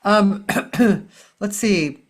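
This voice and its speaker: A woman's voice: medium pitch, drawn-out words. An older American woman acts as interview host. She talks in a casual, conversational way as she chats with her guest and puts questions to them.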